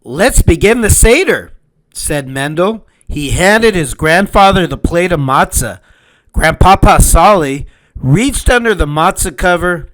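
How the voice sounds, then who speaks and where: A man reading a children's storybook aloud as host, in an expressive, measured and deliberate narrating style. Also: on the high side, rough recording.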